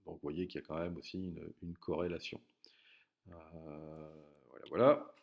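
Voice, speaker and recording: A man's voice: extremely low pitch, little pitch movement, normal speed, creaky. A man teaching a recorded geography lesson, hesitant, with many fillers and restarts.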